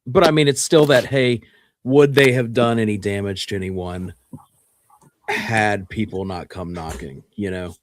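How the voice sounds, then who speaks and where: A man co-hosting a radio show, offering casual commentary in a measured, reflective way. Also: lowish voice, dry recording.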